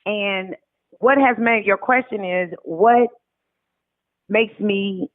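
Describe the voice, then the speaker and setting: A woman's voice: medium pitch, takes it slowly, dry recording. Female interview guest with an American accent, heard through a thin telephone-like connection, middle-aged, chatty and emphatic, dry sound. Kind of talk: casual conversational interview. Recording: tinny narrow phone-like line.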